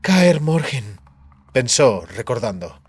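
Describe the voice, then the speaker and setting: A man reading a fantasy audiobook in a measured, dramatic storytelling manner. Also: medium pitch, sing-song, slightly rough, no room sound. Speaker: audiobook narrator. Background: background music.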